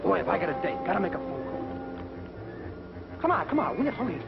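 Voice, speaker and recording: An actor playing a cocky, careless young American Navy pilot with a brash, slangy, whiny manner, in 1940s comic training film style. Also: male voice, high voice, huge pitch swings, moderate pace, gravelly, exaggerated voice. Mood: impatient, eager. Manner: brash, slangy, self-excusing. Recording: dull old film soundtrack, loud music.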